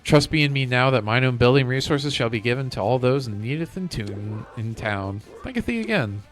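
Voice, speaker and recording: A man's voice: mid-range voice, expressive, normal speed, natural voice. A man voicing a flowery townsperson from a cartoon game in theatrical, old-time English phrasing. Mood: grateful, florid. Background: game music.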